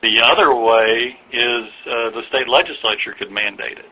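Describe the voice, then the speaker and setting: A man explaining things patiently and haltingly in a phone conversation, like an official answering a caller's questions. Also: medium pitch. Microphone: telephone. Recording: dull lo-fi recorded phone call.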